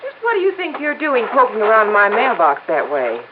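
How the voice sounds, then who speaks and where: An actress playing a brazen female murderer in a 1950s radio crime drama, sharp, haughty and melodramatic. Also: on the high side, wildly swooping pitch, fairly quick. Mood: indignant, haughty. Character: brazen female murderer posing as another woman. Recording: noisy, tinny old radio recording.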